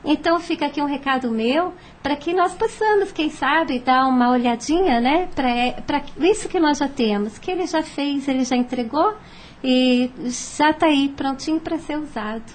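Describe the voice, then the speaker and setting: A woman's voice: high voice, normal speed. A Brazilian woman talking conversationally as a guest on a radio panel.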